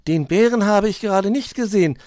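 A man's voice: high-pitched, a little fast, darkish tone, no echo, voice pushed up, close to an everyday voice. A man voicing an eager, earnest little animal boy in a children's story.

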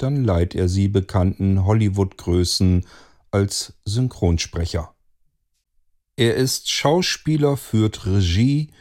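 A man hosting a radio interview show, speaking in measured, carefully phrased introductions and questions. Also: lowish voice, warm tone.

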